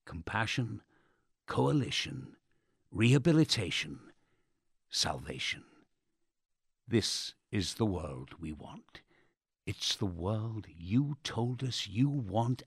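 An actor playing a smug, sardonic state radio host in a dark audio drama, theatrical and menacingly polite with pompous presenter patter. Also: male voice, lowish voice, very animated, slow, vocal fry, husky, dry recording, studio quality, full character voice. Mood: grave, sanctimonious.

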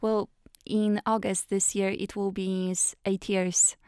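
A woman from Poland, a guest on a radio interview, explaining at length and pausing often with fillers. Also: medium pitch, little pitch movement, a little mellow, smooth, dry recording, clean studio sound. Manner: thoughtful, many hesitation fillers. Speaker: guest actress. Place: radio studio.

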